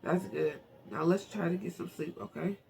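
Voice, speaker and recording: A man reading the part of a grieving, gently reassuring widowed mother in a novel, with quiet restraint. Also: high-pitched, fairly even intonation, normal speed, gravelly, slight background noise. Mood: gentle, reassuring.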